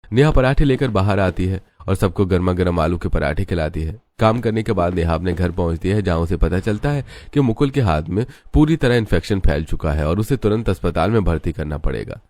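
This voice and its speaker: A man with a very deep voice narrates a moral story. He reads from a script as the storyteller. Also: animated, brisk, hardly any pauses, darkish tone, no room sound.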